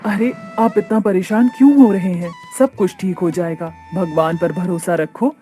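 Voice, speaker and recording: A woman playing a gentle, patient young mother from a poor family in a cartoon, with a soothing manner. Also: lowish voice, normal speed, soft background music, natural voice. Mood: consoling.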